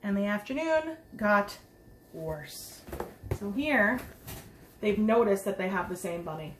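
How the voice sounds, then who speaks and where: A woman's voice: medium pitch, animated, slow pace, a little hiss or hum. A woman preschool teacher reading a picture book aloud to children, expressively, with chatty asides and simple explanations. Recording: roomy, echoing sound.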